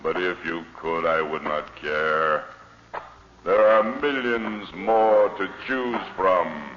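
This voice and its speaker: An actor playing a cold, domineering alien monster in a slow, imperious, menacing manner, in the style of 1950s radio drama. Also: male voice, low voice. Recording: muffled noisy 1950s radio recording, long reverb.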